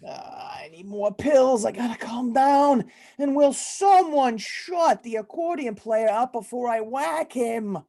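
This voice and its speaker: A harried mob boss and family man, played by a woman in a comic radio play. The delivery is slow and swings widely in pitch, with a mellow tone. The talk is slangy, anxious and short-fused. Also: lively intonation, exaggerated voice. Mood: agitated.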